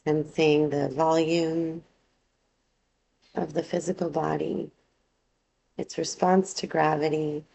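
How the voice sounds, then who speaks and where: Calm lady leading a yoga nidra meditation, really slow, lots of vocal fry. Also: low voice, low-quality recording. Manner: calm, unhurried, gently instructive, with long phrasing. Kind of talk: guided relaxation meditation, slow instruction.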